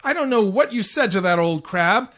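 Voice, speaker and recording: An actor playing an indignant, henpecked, self-pitying husband in a 1940s-style radio comedy. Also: male voice, high voice, animated, normal speed, fairly bright tone. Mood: grumbling, softening. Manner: indignant, complaining.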